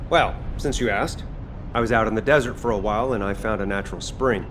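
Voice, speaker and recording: An actor playing a reluctant, casual chef fighter in a video game, informal and given to grumbling. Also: male voice, lowish voice, brisk, fairly bright tone, a little hiss or hum, lightly acted. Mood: easygoing.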